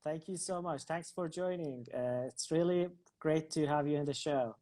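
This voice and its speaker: A man's voice: a little high, normal speed, mellow. A man hosting an online interview. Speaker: interview host.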